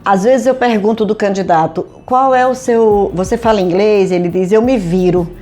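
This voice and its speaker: A woman's voice: medium pitch, moderate pace, dark tone. A middle-aged Brazilian woman from Manaus with a local accent, an HR consultant speaking straight to her viewers in a conversational, emphatic way, full of rhetorical questions. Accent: Brazilian Portuguese, Manaus. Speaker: HR consultant and career advisor. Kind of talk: direct-to-camera talk addressing viewers. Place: home studio in Manaus.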